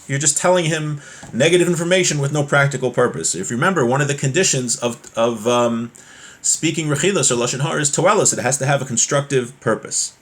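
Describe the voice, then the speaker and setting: A man's voice: a little high, fairly bright tone. A man teaching a religious class informally, reading from a text and explaining it conversationally with everyday examples.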